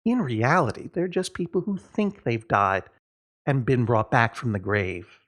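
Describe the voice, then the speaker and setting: Mother for a storybook, male reader. Reasonable, prim, gently condescending, lilting delivery. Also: medium pitch, lively intonation, measured pace, natural voice. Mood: patient.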